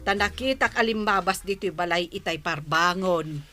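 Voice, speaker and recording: A woman's voice: on the low side, normal speed, pushed and bright, soft background music. A woman acting in an Ilocano radio drama, playing a nagging, exasperated village wife.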